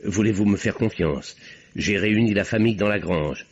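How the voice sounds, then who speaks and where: An actor playing an earnest middle-aged doctor turned sleuth who talks in an urgent, insistent and sometimes flustered way, in an old radio play. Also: male voice, lowish voice, moderate pace, husky. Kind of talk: 1950s radio drama acting. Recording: dull old radio recording.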